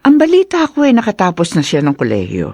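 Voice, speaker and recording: A woman's voice: fairly low pitch, wildly swooping pitch, brisk, dark tone, breathy edge, no room sound, studio quality, heavily acted. A woman playing a doting, coaxing mother of a grown son in a Filipino radio drama. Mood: gentle urging.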